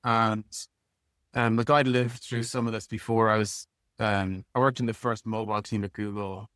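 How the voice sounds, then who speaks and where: A man's voice: lowish voice, little pitch movement, moderate pace, a bit bright, dry recording, clean studio sound. A man speaking as an interview guest in a casual, plain-spoken way, like an executive talking informally about work. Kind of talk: casual conversational interview answers.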